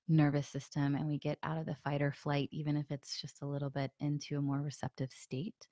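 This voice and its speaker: A woman with a low voice leads a guided meditation, her throat still a little sore and hoarse. She talks softly with plenty of fillers and slips into a breathy near-whisper. The sound is close and dry, with no room around it. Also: no room sound. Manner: soft, relaxed, many fillers. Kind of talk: guided meditation with a live group.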